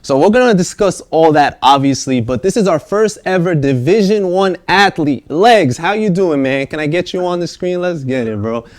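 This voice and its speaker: A man's voice: fairly high pitch, moderate pace, hardly any pauses. Upbeat young man hosting a chat. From Staten Island, New York. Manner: relaxed, upbeat, frequent fillers and laughs. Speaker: podcast host. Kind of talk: casual interview chat.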